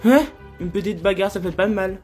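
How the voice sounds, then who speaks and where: A woman's voice: medium pitch, a little fast, a little mellow, lightly acted. A woman voicing a cocky, crude male demon porn star, flirtatious and sarcastic, in an amateur French cartoon fandub. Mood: teasing. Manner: sarcastic, teasing, vulgar slang. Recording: music behind the voice.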